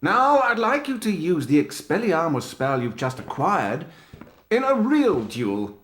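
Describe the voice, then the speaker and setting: An actor playing a vain, showy male wizard teacher in a video game, speaking in a theatrical, booming, self-pleased way. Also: sing-song, unhurried, fairly bright tone, reverberant.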